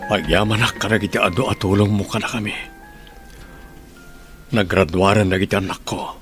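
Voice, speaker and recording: A man with an Ilocano accent playing a gentle, hardworking middle-aged father in an audio drama. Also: fairly low pitch, fairly even intonation, normal speed, over music, natural voice. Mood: gentle, earnest.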